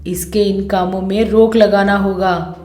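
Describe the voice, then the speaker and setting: A woman voicing a quarrelsome, miserly, middle-aged mother-in-law in an animated storybook tale, scolding and complaining in exasperation. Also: medium pitch, a little slow, echoey room, soft background music, natural voice. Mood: alarmed, brooding.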